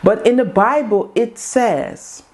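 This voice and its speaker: Slow-talking Dutch woman coaching on camera. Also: on the high side, a little mellow. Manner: earnest, repetitive coaching lines. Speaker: host of a women's group video series. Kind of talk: motivational talk to camera.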